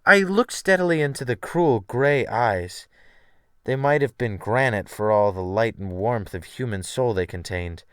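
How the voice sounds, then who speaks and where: A man giving a theatrical, expressive first-person dramatic reading of a literary sea tale as an audiobook narrator. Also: mid-range voice, measured pace, clean tone, dry recording, clean studio sound. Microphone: USB desktop microphone.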